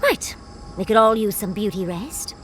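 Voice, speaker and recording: A woman's voice: medium pitch, expressive, moderate pace, a bit bright, faint music behind, close to an everyday voice. A woman voicing a playful, vain adventurer in a video game, theatrical and teasing. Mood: playful, gushing. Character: playful, flirty, vain female adventurer companion.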